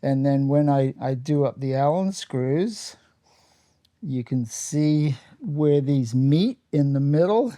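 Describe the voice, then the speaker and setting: A Canadian man talks through a hands-on demonstration at a very slow, unhurried pace. His voice is dark and relaxed, recorded close and dry, and he explains each step in a plain, matter-of-fact way. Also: a little high, dry recording. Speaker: host of a workshop how-to channel. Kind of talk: casual hands-on demonstration, explaining steps while working.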